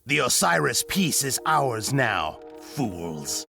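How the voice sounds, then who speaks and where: A man playing a smug, sneering villainous henchman in a video game cutscene, gravelly, with music behind him. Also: medium pitch, big pitch swings, slow, full character voice. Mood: smug gloating. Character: gloating villainous henchman of a dark god.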